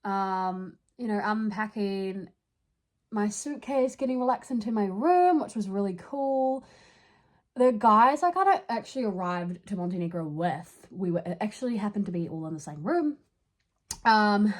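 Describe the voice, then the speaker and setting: A woman's voice: mid-range voice, lively intonation, normal speed. A young woman with a New Zealand accent telling a story in a casual, chatty way, using informal slang as a vlogger. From New Zealand, from Dunedin.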